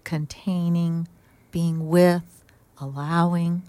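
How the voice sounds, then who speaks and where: A middle-aged woman with a lowish voice reflects gently and thoughtfully on her work in social work. The sound is dry and close, with no room around her. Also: level tone, drawn-out words, no echo. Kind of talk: reflective interview answers.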